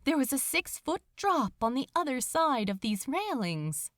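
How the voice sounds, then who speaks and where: A woman reads fiction aloud as a dramatic storyteller. Her voice sits a little high and moves very expressively, taking its time in a dry, close recording. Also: big pitch swings, a little slow, fairly bright tone, no echo. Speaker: podfic narrator. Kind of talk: expressive scripted fiction narration.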